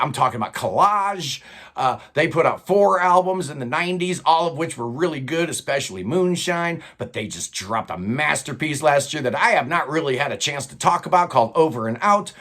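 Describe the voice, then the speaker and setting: Older male host, slightly high voice, enthusiastic casual countdown patter, home studio. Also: expressive, a little fast, fairly bright tone. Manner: enthusiastic, loose and chatty, with fillers. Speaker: host of a prog rock channel. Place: record-filled home studio room.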